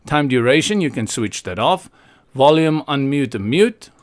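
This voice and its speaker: Male tutorial presenter from South Africa, mid-pitched, casual and playful, thinks aloud and gives frank opinions. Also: animated, normal speed.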